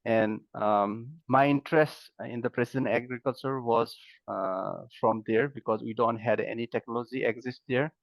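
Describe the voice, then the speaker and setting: A man in his thirties from Nepal, explaining his research as a guest in a podcast interview, halting and full of fillers. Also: mid-range voice, steady tone, no room sound. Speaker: guest, agronomy professor. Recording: dry, dull lo-fi sound.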